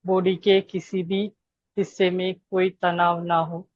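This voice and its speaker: A woman leading a guided meditation, giving gentle instructions with long pauses between phrases. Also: fairly low pitch, flat delivery, no echo, highs cut off.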